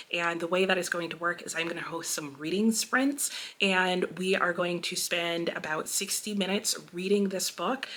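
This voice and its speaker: A woman's voice: on the low side, bright, forceful tone. A woman hosting a book channel, talking in a friendly, conversational way straight to her listeners in a casual announcement. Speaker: host of a book channel and read-along. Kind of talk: casual vlog announcement to camera.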